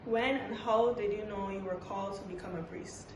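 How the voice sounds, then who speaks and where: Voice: medium pitch, steady tone, moderate pace. An interviewer asking brief, evenly delivered questions one after another. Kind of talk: short scripted interview questions. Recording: roomy recording with faint background noise.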